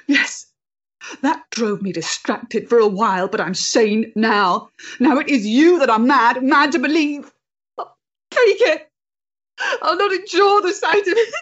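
Woman acting bitter proud heroine, period audio drama, higher pitch, husky, deliberate, intense, slightly muffled. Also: lively intonation, fairly bright tone, exaggerated voice. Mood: frantic. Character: bitter, disillusioned, proud woman spurning religion.

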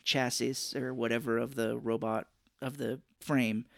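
A man's voice: medium pitch, flat delivery, gravelly, no room sound, studio quality. A man co-hosting a podcast, recapping a film in casual chat and joking as he retells scenes. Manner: joking, recaps scenes with quick put-on quotes. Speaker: podcast co-host.

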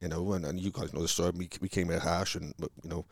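A man from Wales with a Welsh accent, chatting casually about his sales career in a halting, rambling way, with many restarts. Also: low-pitched, fairly even intonation, dry recording, studio quality. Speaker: car salesman guest. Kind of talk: casual conversation.

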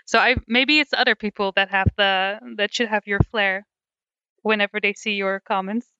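A Dutch-accented woman co-hosts a casual podcast with a bright, crisp and smooth voice. She speaks softly and often lets her sentences fade out. The sound is dry and close. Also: medium pitch, fairly even intonation, normal speed, no echo, lo-fi sound. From Netherlands. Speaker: podcast co-host. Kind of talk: casual chat.

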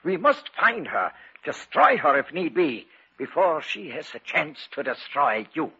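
An actor playing a grave, commanding elderly occult expert in an old radio horror play, speaking in a solemn, dramatic manner. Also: male voice, on the high side, sing-song, unhurried, bright, forceful tone, breathy edge, full character voice. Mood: urgent warning. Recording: dull vintage radio broadcast, music behind.

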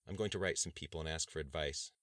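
A man's voice: rumbling low, fast talker, fairly bright tone, no room sound, studio quality. A man reading an audiobook voices a worried, middle-aged government budget expert.

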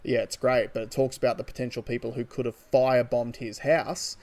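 An Australian man with a medium-pitched voice talks as a podcast co-host. He speaks casually but with opinion, leaning on and repeating words for emphasis. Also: flat delivery, fairly quick, a little mellow. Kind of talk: casual opinionated political discussion.

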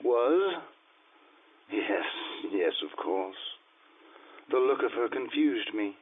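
An actor playing a morbid, tormented aristocrat slipping into madness, brooding and intense in an old-time radio horror style. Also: male voice, mid-range voice, animated, slow. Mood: morbid tenderness. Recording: muffled, band-limited radio recording.

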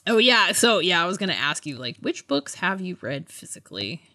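A woman podcast co-host in casual book discussion, animated and giggly, often interrupting herself. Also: lowish voice, fairly quick, dry recording, studio quality.

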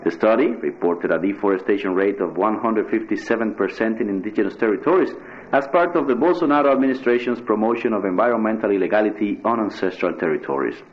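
A man with a fairly low voice reads scripted radio news. The sound is dull and band-limited, like a lo-fi broadcast. He delivers formal reports as a newsreader. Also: fast.

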